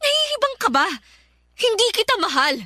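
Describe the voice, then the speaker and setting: A woman's voice: very high-pitched, sing-song, moderate pace, sharp tone, no room sound, studio quality, heavily acted. An actress playing a resolute, self-sacrificing young working woman in a radio drama, emotional and firm. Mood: agitated, firm. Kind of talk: Filipino radio drama acting.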